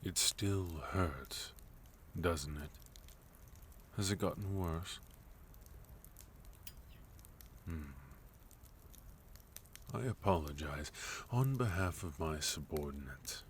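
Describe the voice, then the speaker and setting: A man's voice: sits low, expressive, takes it slowly, pauses often, slight background noise. A man playing a sophisticated villain leader in a fantasy ASMR roleplay, polite, formal and soothing as he dotes on a captive guest. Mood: gentle, doting, then apologetic, measured.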